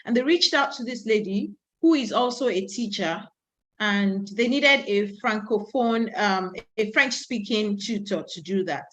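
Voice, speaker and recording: A woman's voice: mid-range voice, a little slow, fairly bright tone, poor audio. A woman in her thirties from Nigeria, giving a conference talk and speaking in a storytelling way, with asides. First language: Yoruba. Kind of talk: presentation talk.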